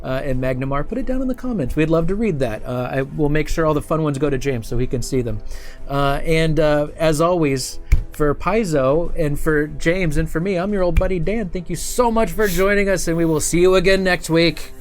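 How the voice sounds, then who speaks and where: A man's voice: fairly high pitch, a little fast, faint music behind. A man hosting a livestream, chatting casually in a chummy, informal way. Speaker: host of a weekly tabletop game livestream. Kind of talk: casual on-stream chat.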